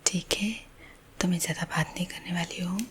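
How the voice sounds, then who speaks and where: A woman with an Indian accent guiding sleep hypnosis in a husky, breathy ASMR whisper, gentle and soothing. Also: lowish voice, level tone, a little hiss or hum. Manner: slow, soothing, gentle, hushed. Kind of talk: whispered ASMR guided visualisation. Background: soothing music.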